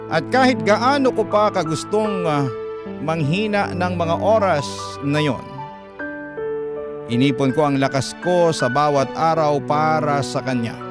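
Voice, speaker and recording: A man hosting a radio program, reading a letter as dramatic, emotive narration with deliberate delivery. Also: a little high, music in the background. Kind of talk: dramatic scripted narration in classic radio style.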